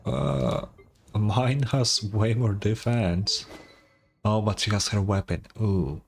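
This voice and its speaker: Slow-talking offhand male game streamer. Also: on the low side, expressive, soft background music. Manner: offhand, muttering reactions, swearing. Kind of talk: casual gameplay commentary.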